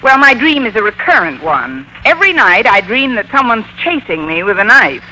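A woman playing a sardonic high school English teacher in an old radio comedy, with a dry, wisecracking, crisp delivery. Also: very animated, moderate pace, pushed and bright. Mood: weary, exasperated. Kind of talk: 1940s-50s radio comedy acting and narration. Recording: noisy old-time radio recording.

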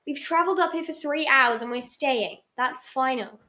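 A woman's voice: sits high, moderate pace, sharp tone. A woman voicing a tired, stern father in a story, cutting and firm. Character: tired, stern father losing his temper.

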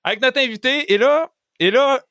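High-pitched Quebec French man. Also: moderate pace, bright, forceful tone, smooth, no echo, studio quality. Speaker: podcast co-host. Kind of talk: casual chat.

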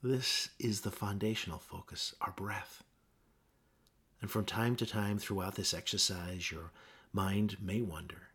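Male meditation guide, low-pitched, husky, gentle, measured, pausing between phrases. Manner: gentle, measured instruction with pauses.

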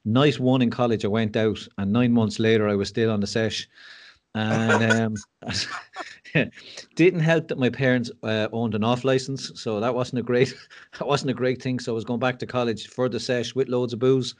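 A man's voice: medium pitch, steady tone, normal speed, a little hiss or hum. An Irish man speaking as a podcast guest, telling his story candidly with frank, self-deprecating humour and lots of fillers and restarts. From Ireland.

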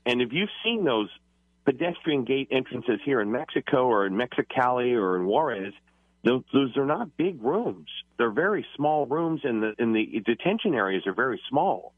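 A man giving a live unscripted news report to the studio, halting and rephrasing as he explains. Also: mid-range voice, no room sound. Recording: phone-like narrow radio line, dry.

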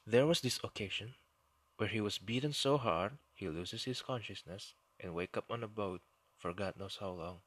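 Man, Indonesian accent, podcast host, fairly low pitch, husky, dry recording. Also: normal speed, no room sound. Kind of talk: solo history podcast narration.

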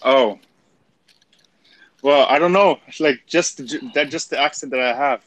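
A man's voice: medium pitch, normal speed, a bit bright, no room sound. German-accented man, dry close sound. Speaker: live stream co-host. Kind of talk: casual live chat.

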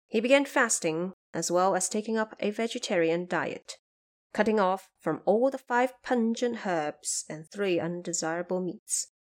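A woman reading scripted narration of a classic fantasy tale for an audio drama. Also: medium pitch. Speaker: storyteller.

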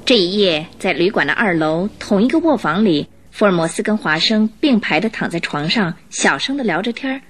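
A woman narrating a radio drama in an expressive, dramatic storytelling style. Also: medium pitch, brisk, few pauses. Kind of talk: scripted Mandarin storytelling narration. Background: music.

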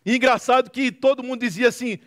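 A man's voice: very high voice, fairly even intonation, fast talker, a bit bright. A man with a Brazilian accent giving a motivational testimony to an audience, telling stories dramatically with emphatic repetition and humor. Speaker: guest speaker, social movement founder.

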